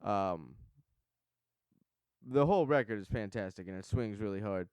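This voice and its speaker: A man's voice: on the low side, lively intonation, moderate pace, a little mellow, clean tone, no echo. A man hosting a podcast, chatting in a laid-back, rambling and enthusiastic way. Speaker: podcast host. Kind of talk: casual interview chat.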